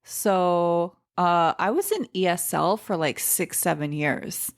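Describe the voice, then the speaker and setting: Lower-voiced woman chatting with many fillers. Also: slow pace. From Iran, raised in Seattle. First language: Persian. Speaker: stand-up comedian, podcast guest. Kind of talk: casual interview chat.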